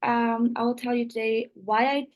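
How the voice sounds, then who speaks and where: A woman's voice: fairly high pitch, steady tone, moderate pace. A woman in her twenties with a Polish accent, giving an informal student presentation on an online call in non-native English. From Poland. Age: 20s. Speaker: student representative. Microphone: video call.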